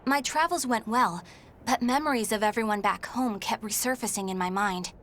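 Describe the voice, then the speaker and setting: A woman's voice: on the high side, moderate pace, fairly bright tone, a little hiss or hum, close to an everyday voice. A woman playing a feisty, stubborn young warrior in a video game story, speaking with a spirited, defiant manner. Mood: reflective narration. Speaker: protagonist and narrator of game story.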